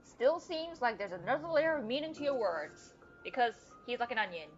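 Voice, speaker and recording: A woman's voice: on the high side, big pitch swings, brisk. A young woman live-streaming a game with casual, playful commentary and wry asides, sometimes reading the game's text aloud. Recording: dull lo-fi mic, game music behind.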